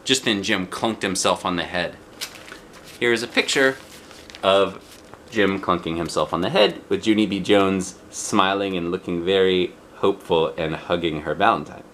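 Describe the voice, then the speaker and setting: A man reading a children's book aloud with expressive narration. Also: deep voice, moderate pace, a little hiss or hum. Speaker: book reader.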